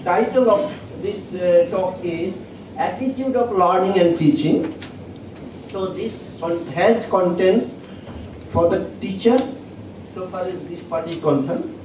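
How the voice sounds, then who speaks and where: Soft-spoken male professor, Indian English accent, raised pitch, lecturing haltingly; recorded from afar in a reverberant hall. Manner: halting, with frequent fillers and self-corrections. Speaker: chemistry professor. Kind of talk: classroom lecture. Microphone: fixed microphone at a distance. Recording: echoey hall, distant mic, noisy.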